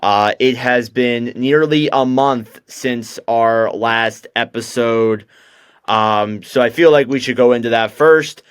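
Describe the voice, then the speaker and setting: A man's voice: medium pitch, takes it slowly, smooth, no room sound, studio quality. A young man hosting a casual hockey podcast, chatty and loose with lots of fillers. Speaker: podcast host.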